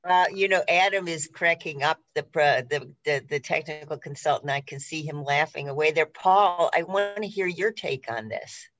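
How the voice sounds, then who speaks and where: A woman's voice: low voice, normal speed, few pauses, muffled recording. A woman hosting a discussion, putting wordy questions to guests with personal asides in a conversational style. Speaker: host. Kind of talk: conversational interviewing.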